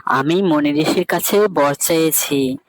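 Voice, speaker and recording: A woman's voice: deep voice, slow pace, no room sound, poor audio. A woman voicing a humble, earnest young woodcutter boy in a cartoon. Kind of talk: cartoon story character voice.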